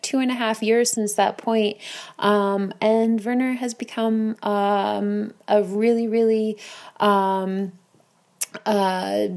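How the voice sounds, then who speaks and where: Slow, creaky-voiced American woman. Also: mid-range voice, a little mellow. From Bayonne, New Jersey, United States. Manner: rambling, self-deprecating. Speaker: podcast guest contributor. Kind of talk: casual recorded monologue. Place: home kitchen.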